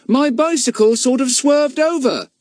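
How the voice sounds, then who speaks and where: A man's voice: very high-pitched, a little slow, darkish tone, dry recording, studio quality, pitched above the performer's own voice. A man doing a meek, eager telegraph boy. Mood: apologetic. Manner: meek, apologetic.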